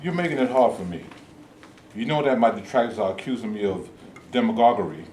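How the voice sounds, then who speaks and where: A man reading the part of a reverend, measured and earnest. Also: lowish voice, expressive, darkish tone, lightly acted. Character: principled cautious male reverend leader. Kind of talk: staged reading. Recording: echoey room, noisy.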